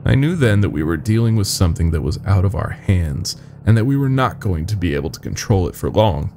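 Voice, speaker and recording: A man's voice: low-pitched, very animated, brisk, darkish tone, a little hiss or hum. A man voicing a nervous, foul-mouthed young lab worker confessing a horror with urgency. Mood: shaken, urgent.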